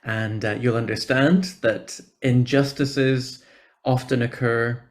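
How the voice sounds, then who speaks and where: A man's voice: medium pitch, steady tone, slow pace. A man with a Northern Irish accent giving an informal, encouraging conference presentation over a video call. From Northern Ireland. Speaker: church programme officer, guest speaker.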